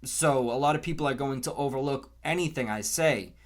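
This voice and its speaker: A man in his twenties with an American accent, giving an earnest, unscripted opinion monologue in a deliberate way, repeating phrases for emphasis. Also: mid-range voice. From Canton, Massachusetts, US. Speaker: podcast host.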